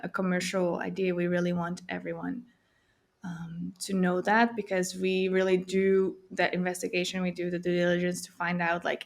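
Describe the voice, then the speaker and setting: A woman from the Netherlands with a Dutch accent, a guest in a casual interview, speaking haltingly with many fillers and little laughs. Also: lowish voice, gravelly. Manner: hesitant, many fillers, laughs often, switches between Spanish and English.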